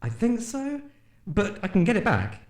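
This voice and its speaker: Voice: mid-range voice, wildly swooping pitch, moderate pace, a little mellow, reverberant. An actor playing a nervous, apologetic radio station engineer in an audio drama, hesitant and sheepish.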